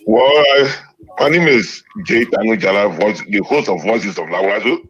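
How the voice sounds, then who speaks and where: A man's voice: fairly low pitch, normal speed, fairly bright tone, highs cut off. A man calling in to a radio show to give a birthday greeting, rambling and laughing as he talks. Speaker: caller, host of another show.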